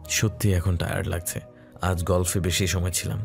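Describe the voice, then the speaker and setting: A man playing a soft-spoken, caring and wistful widowed husband in a romantic audio drama. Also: low voice, flat delivery, normal speed, creaky, soft background music. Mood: relaxed, kind. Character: gentle, wistful widowed husband, well-off businessman.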